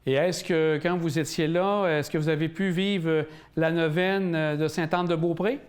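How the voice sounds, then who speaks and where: A man's voice: on the high side, steady tone, moderate pace. A man hosting a local TV interview, asking questions with many fillers and hesitations. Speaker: interviewer and host. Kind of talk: community TV interview.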